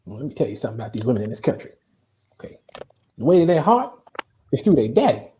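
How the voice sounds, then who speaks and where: Woman playing a brash, fast-talking streetwise male barber. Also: very deep voice, very animated, warm tone. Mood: brash, advising. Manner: folksy, emphatic, slangy. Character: streetwise, brash old male barber giving love advice. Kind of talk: comedy script table read.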